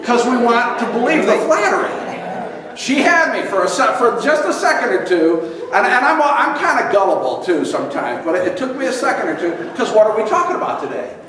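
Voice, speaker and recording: A man in his sixties, a pastor, telling a humorous story in a sermon with a chuckling, lively manner. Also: very high voice, fairly quick, crowd noise. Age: 60s. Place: large church hall with congregation. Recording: echoey hall from far off, congregation audible.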